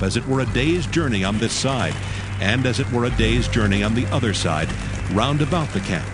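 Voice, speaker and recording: A man narrating scripture from a script in a measured, solemn storytelling manner. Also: mid-range voice. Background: music.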